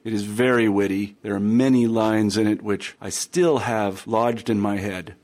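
A man's voice: fairly low pitch, fairly even intonation, normal speed, darkish tone. A man hosting a history book podcast, reading an introduction and then chatting with a guest, with some fillers and restarts. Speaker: podcast host. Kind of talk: scripted intro then interview.

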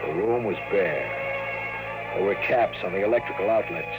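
An actor playing a tough, cynical private investigator in an old radio noir drama, with clipped, slangy delivery. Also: male voice, fairly low pitch, normal speed, natural voice, poor audio. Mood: weary, wry narration. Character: hard-boiled, cynical male private investigator, tough and bullying. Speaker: narrator and lead character. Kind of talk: old-time radio noir acting and first-person narration. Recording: vintage radio drama recording with music.

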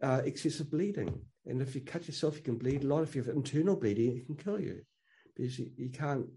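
A man's voice: medium pitch, warm tone. A man speaking as an interview guest in a casual conversation, earnest and opinionated, often restarting his sentences.

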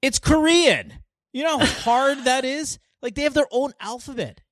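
An animated podcast host chatting casually and swearing freely. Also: sits high, normal speed, no room sound. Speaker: talk show host.

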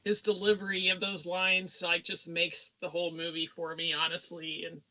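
A woman giving a relaxed, upbeat answer in a casual podcast chat. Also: on the low side, normal speed, poor audio.

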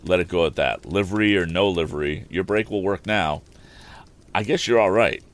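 A man's voice: deep voice, normal speed, lightly acted. Man doing an eager young inventor. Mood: brisk.

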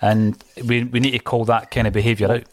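A man's voice: medium pitch, flat delivery, fairly quick. A man hosting a football podcast, speaking in an emphatic, opinionated way. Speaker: podcast host. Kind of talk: casual chat.

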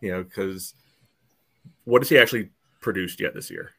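A man's voice: low voice, moderate pace, gravelly, sharp tone, husky. A man in a casual, opinionated sports debate as a podcast panelist, blunt and often saying "you know".

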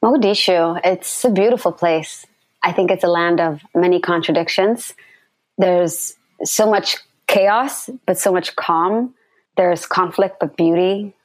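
A woman in her late twenties, born in Somalia and raised in Canada, speaking calmly and reflectively as a guest on a remote call. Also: fairly low pitch, a little slow, dry recording. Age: late 20s. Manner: reflective, calm, occasional ums. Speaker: guest, activist. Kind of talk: casual interview.

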